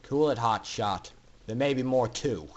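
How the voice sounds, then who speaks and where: A man voicing a gruff, callous big pony boss in a storybook reading, with a sneering, slangy drawl. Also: medium pitch, measured pace, muffled recording, voice pushed down, lightly acted. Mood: dismissive.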